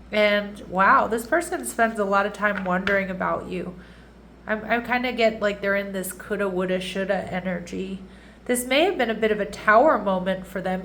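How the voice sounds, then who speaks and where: A woman's voice: medium pitch, slight background noise. Congested, nasal woman doing a tarot reading. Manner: chatty, warm asides, frequent restarts. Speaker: tarot reader.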